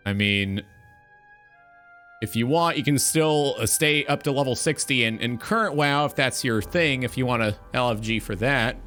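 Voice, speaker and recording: Bright-voiced, blunt man hosting a talk show. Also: medium pitch, moderate pace, faint music behind. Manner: emphatic, blunt, sweary. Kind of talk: opinionated gaming talk show monologue.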